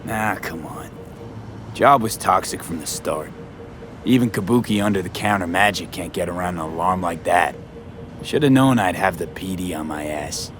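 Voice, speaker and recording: Man acting low-voiced, terse American mercenary. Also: moderate pace, music in the background, natural voice. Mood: guarded. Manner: clipped, streetwise, matter-of-fact. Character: terse, guarded young male mercenary in a cyberpunk city. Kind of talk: video game voice acting.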